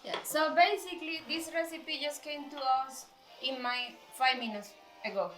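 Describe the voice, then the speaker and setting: A woman's voice: high voice, normal speed, a bit bright, faint music behind, low-quality recording. A woman from Venezuela with a Venezuelan Spanish accent, a chef cheerfully co-hosting a cooking demonstration in English. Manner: cheerful, laughs often.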